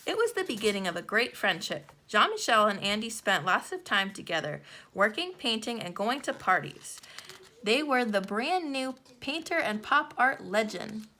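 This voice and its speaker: Bright-voiced woman reading a children's storybook. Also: medium pitch, big pitch swings, normal speed. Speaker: children's book reader. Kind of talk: scripted storybook narration for children.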